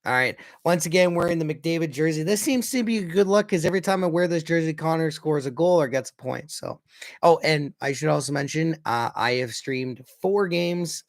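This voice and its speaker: Man, hoarse raspy throat, gravelly fry, chatty livestream preview, dry close sound. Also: mid-range voice, no echo. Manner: chatty, frequently corrects himself. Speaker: hockey fan livestreamer. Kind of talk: casual pregame preview chat.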